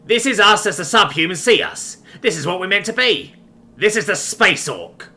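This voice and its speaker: A man voicing a brutish, menacing space orc pirate captain in an audio story, slow, booming and taunting. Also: animated, sharp tone, exaggerated voice. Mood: proud, defiant. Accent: mock Cockney.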